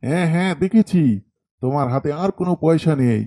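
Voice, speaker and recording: A man voicing an eager, easily amazed old village spectator in an animated story, full of exclamations. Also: a little high, brisk, lightly acted. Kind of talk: animated horror story dialogue.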